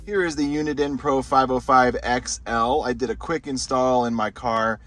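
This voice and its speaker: A man's voice: medium pitch, fairly even intonation, normal speed, a bit bright, soft background music. A man hosting a product review video, talking to viewers in short, simple explanatory phrases. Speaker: host of a gear review channel.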